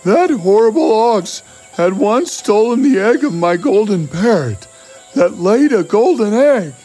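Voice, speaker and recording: A big, childlike, dim-witted cartoon giant, acted out by a man. He talks slowly and simply in a high voice that swings up and down, over faint music. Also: very animated, dark tone, full character voice. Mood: earnest. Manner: simple, earnest, drawn-out. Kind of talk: animated fairy tale dialogue.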